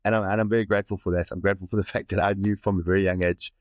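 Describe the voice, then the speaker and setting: A South African actor in his forties, telling stories in a lively way and often repeating words, speaking over a video call from a room with a plain wall. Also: low voice, very fast, no echo, studio quality. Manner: animated storyteller, often repeats words, slips into other accents. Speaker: actor guest.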